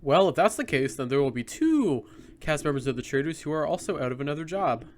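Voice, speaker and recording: A man co-hosting a casual pop-culture podcast, animated and jokey, quick to laugh. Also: a little high, background noise. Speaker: podcast co-host.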